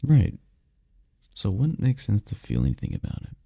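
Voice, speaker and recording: Man voicing a deep, gravelly, tender incubus boyfriend. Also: huge pitch swings, normal speed, dry recording, clean studio sound, exaggerated voice. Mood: gentle, consoling. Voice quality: gravelly, dark low voice. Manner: soft, soothing, teasing, lapses into whispers. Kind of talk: intimate ASMR roleplay, comforting. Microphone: close microphone, dry.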